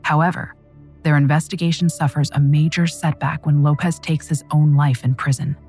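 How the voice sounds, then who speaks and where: A woman narrating a true crime story in a measured, dramatic scripted style. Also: low-pitched, flat delivery, a little mellow, faint music behind.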